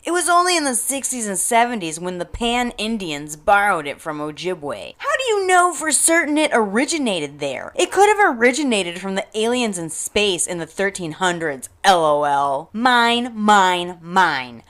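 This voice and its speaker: Woman putting on a loud, dismissive online ranter. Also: mid-range voice, very animated. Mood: dismissive, mocking. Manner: emphatic, drawn-out, mocking delivery. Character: dismissive, ranting woman social media commenter. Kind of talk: acted table read of internet drama.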